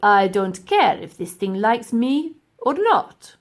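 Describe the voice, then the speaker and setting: A woman's voice: on the high side, very animated, slow pace. A woman voicing a pompous, boastful old male professor in a children's storybook, scornful and grandly emphatic. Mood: indignant.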